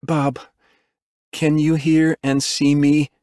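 A man voicing a young female medic in an audiobook, speaking gently and carefully but insistently. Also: on the high side, flat delivery, takes it slowly, dry recording, studio quality, voice pushed up.

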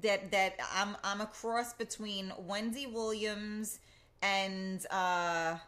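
A woman hosts a casual talk podcast and interviews her guest. Her voice is bright and forward. She chats in a rambling way, trails off, and often compliments her guest. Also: medium pitch, steady tone, slow pace. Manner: chatty, warmly complimentary, rambling. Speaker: podcast host.

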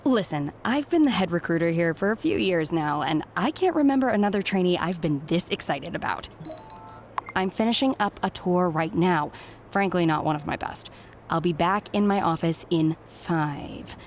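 Brisk, harried middle-aged recruiter. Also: female voice, on the low side, animated, slight background noise. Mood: upbeat, encouraging, then harried, distracted. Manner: brisk, distracted, businesslike. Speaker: head recruiter. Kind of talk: naturalistic video game cutscene acting.

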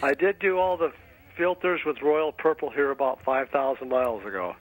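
A man's voice: medium pitch, normal speed, slight background noise, poor audio. A man calling in to a radio show, asking politely and a little hesitantly about his car. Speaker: caller. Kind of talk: phone-in question.

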